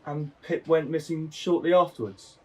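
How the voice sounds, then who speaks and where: A man's voice: a little high, moderate pace, natural voice. A man playing a mild, considerate police detective in a naturalistic audio drama. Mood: gentle, official. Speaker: actor in an audio play.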